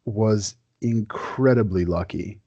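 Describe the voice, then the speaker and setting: A young man from Quebec whose first language is French, talking as a guest historian in a casual podcast interview, earnest and relaxed with many fillers and repeated words. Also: lowish voice, takes it slowly, husky, dry recording, muffled recording.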